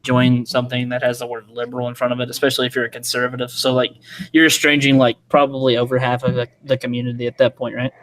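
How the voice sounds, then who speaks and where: A man's voice: mid-range voice, monotone, fast talker, fairly bright tone, a little hiss or hum, rough recording. A man chatting casually on a group stream, crude and joking. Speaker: stream co-host.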